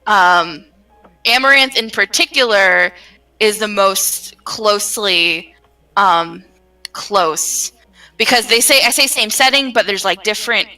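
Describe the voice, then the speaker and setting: Bright-voiced woman rambling, a bit distant. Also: medium pitch, slow pace. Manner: rambling, trailing off and circling back. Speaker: game developer, writer and voice actor. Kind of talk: casual chat about her own creative projects.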